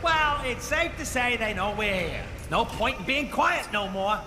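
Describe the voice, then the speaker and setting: High, brash wisecracking raccoon. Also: male voice, lively intonation, unhurried, noisy background. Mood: blustering. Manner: sarcastic, blustering, slangy. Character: brash, wisecracking male talking raccoon, small and trigger-happy. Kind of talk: animated video game comedy acting. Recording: loud game music underneath.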